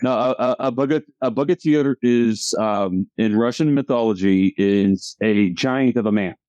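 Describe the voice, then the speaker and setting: Smooth, mellow low-voiced man, slightly dull audio. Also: dry recording. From United States. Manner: halting, hesitant explaining. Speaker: comic creator, interview guest. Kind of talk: casual interview chat.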